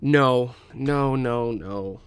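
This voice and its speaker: A man's voice: mid-range voice, drawn-out words, clean tone, no room sound. A man playing a dismissive bar manager in a scripted table read.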